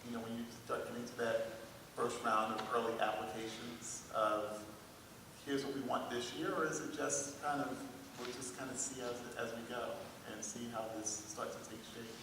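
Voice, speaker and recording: A man's voice: mid-range voice, fairly even intonation, moderate pace. A man moderating a panel discussion, putting questions in a conversational way. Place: large room at a public panel event. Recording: echoey hall from far off, noisy.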